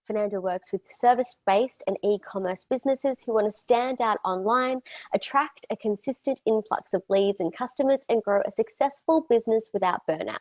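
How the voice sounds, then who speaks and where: Woman host reading a steady introduction. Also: on the high side, normal speed, no room sound. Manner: steady, reads a prepared introduction. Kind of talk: scripted introduction.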